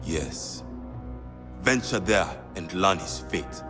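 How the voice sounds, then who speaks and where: A man playing a cultured, poetic spymaster in a video game, speaking in a measured way. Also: sits low, lively intonation, over music. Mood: grave. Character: cultured, poetic male spymaster of assassins' bureau. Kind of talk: video game cutscene acting.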